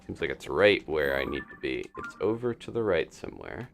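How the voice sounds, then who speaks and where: A man with a low voice streams a video game with casual commentary. His pitch moves around a lot as he thinks aloud. Faint game music plays behind him. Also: big pitch swings, a little fast, creaky. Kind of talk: casual live gameplay commentary.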